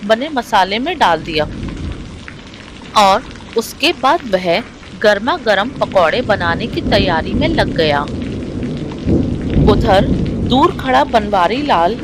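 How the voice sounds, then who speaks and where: A woman's voice: mid-range voice, expressive, slow, long pauses. A woman reading scripted narration for a moral storybook tale. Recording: rain, thunder and vehicle noise behind.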